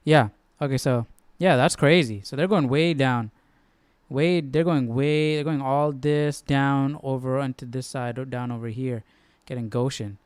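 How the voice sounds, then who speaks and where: A man hosting a Bible study stream in casual, slangy chat, halting as he thinks aloud. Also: mid-range voice, fairly even intonation, clear, resonant tone, no echo. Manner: informal, slangy, halting as he works things out.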